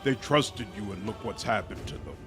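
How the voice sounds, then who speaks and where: A smug demon from a fantasy game, played by a man, mocking and goading in a low voice. Music plays behind the sneering delivery. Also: normal speed, natural voice. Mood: gloating, mocking. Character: smug, sneering demon commander taunting a rescuer.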